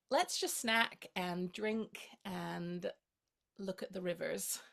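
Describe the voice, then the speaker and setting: An actress playing a playful, tender young woman in a play reading, gently teasing as she courts her friend. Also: mid-range voice. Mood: romantic, hopeful. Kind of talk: two-hander stage play reading.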